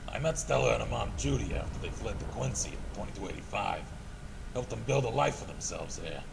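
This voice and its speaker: An actor playing a weary, guarded ex-militia survivor and protector in a video game, speaking in a measured, grim way. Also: male voice, on the low side, lively intonation, creaky, noisy background. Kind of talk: video game character dialogue.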